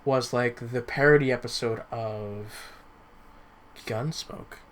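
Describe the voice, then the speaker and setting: A man chatting casually as a podcast co-host, halting and repeating words as he starts his sentences. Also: mid-range voice. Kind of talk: casual chat.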